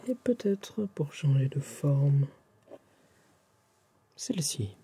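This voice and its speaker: Slow, whispering Frenchman in an ASMR roleplay. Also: on the high side, animated, slight background noise. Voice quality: soft, breathy whispering and hushed speech. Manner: polite, formal, attentive shopkeeper address. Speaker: ASMR roleplayer playing a tailor.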